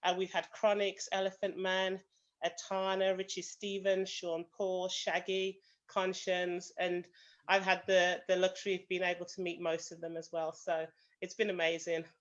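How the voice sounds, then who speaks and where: A woman's voice: fairly low pitch, monotone, moderate pace, lo-fi sound. A woman with a British accent, a panel guest on a video call, giving a prepared talk with many "um" fillers and hedges like "kind of". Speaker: association president, panel guest.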